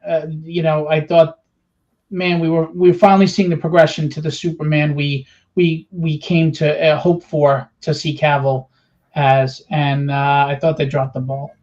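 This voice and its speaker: A man with a fairly high voice talks films casually on a panel. He is opinionated and halting in delivery, and the sound is dull and lo-fi. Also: darkish tone. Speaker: roundtable panelist. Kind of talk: casual chat about films. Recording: dull lo-fi band-limited audio.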